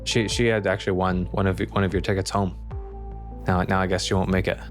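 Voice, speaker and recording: A man's voice: sits low, little pitch movement, brisk, soft background music, natural voice. Man playing a probing female reporter. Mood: troubled. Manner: hesitant, then pointed questioning. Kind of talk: improvised tabletop roleplay.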